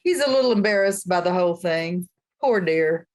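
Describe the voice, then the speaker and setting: A woman playing a motherly small-town witch high priestess in a radio play, soothing and sweet with gentle menace. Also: expressive, moderate pace, lightly acted. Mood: patient, firm. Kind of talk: radio comedy-drama dialogue.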